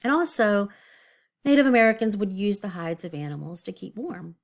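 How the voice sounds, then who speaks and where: Woman teacher with lively, swooping intonation. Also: medium pitch, moderate pace. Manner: explanatory, asks the listener questions and thinks aloud. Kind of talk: read-aloud lesson for children with explanatory asides.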